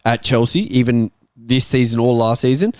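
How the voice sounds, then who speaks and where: A man's voice: mid-range voice, fairly even intonation, moderate pace, a little mellow, clear, resonant tone, no room sound, clean studio sound. A man debating football casually and bluntly as a talk show panelist, given to swearing.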